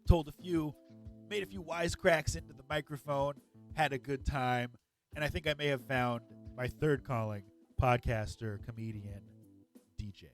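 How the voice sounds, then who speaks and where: A man's voice: medium pitch, normal speed, faint music behind. A man co-hosting a gaming podcast, a comedian telling stories in an enthusiastic, joking way. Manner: enthusiastic storyteller, upbeat and joking. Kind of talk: casual podcast chat.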